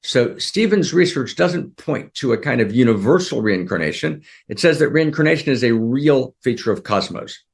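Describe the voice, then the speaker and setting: A man's voice: medium pitch, lively intonation, moderate pace, vocal fry, hardly any pauses. Earnest man teaching a group. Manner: keeps checking in with listeners, repeats phrases. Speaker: spiritual teacher.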